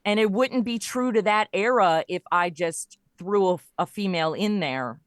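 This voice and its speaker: Woman, interview guest, casual chat, animated storytelling, acted-out quotes, dry close recording. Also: medium pitch, normal speed, no echo. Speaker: guest, writer of a comedy audio show.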